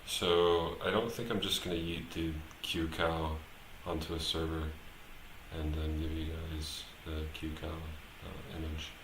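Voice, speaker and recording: A man thinking aloud as he live-streams his programming work, talking casually and haltingly, muttering to himself as he goes. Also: very deep voice, little pitch movement, a bit bright. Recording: roomy echo, clear background noise, typing.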